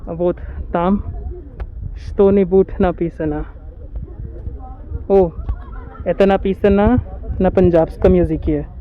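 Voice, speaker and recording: A man's voice: sits high, fairly even intonation, slow pace, pauses often, narrow, tinny audio, slight background noise. A man from India, narrating a walking tour outdoors in a lakeside park, careful and dreamy, often correcting himself. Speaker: travel vlogger.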